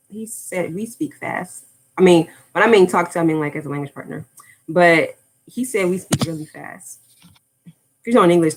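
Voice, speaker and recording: American woman, low voice, loose rambling livestream chatter. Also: low-quality recording. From United States. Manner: rambling, drifts off topic, frequent fillers. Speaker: language-learning YouTuber. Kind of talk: casual livestream chat.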